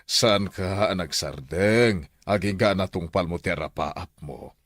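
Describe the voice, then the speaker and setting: A man's voice: low voice, unhurried, fairly bright tone, no room sound, clean studio sound. An actor playing an admiring male mentor in a radio drama. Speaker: teacher or mentor.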